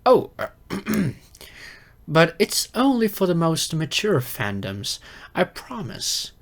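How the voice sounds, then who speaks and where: Guy playing nerdy insecure young fox spirit, asmr roleplay, slow and halting, really expressive swings, flustered but earnest. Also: a little high, gravelly, full character voice. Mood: bashful, defensive. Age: young adult. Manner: flustered, hesitant, earnest, slightly formal. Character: geeky, insecure young silver fox kitsune on a blind date.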